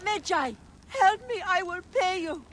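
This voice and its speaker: An actress playing a scheming, cruel wife who talks in an imperious, scornful manner. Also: female voice, extremely high pitch, animated, a little slow, noisy background. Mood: pleading.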